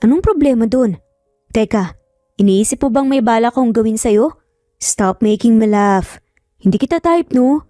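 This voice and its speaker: A woman's voice: fairly high pitch, expressive, moderate pace, long pauses, dark tone, dry recording, clean studio sound, soft background music, natural voice. Woman as a teasing, cocky young father. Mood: mock grumpy. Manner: teasing, nonchalant.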